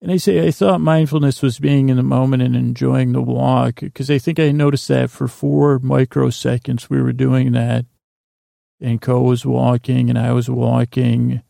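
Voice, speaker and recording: A man podcast host with heavy vocal fry and a close, dry sound. He rambles through a personal monologue in a halting way, doubling back on his sentences and voicing bits of imagined conversation. The tone of his voice is dark and relaxed. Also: medium pitch, dry recording. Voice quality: gravelly, creaky. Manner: halting, meandering, constant false starts and self-interruptions; slips into imagined dialogue.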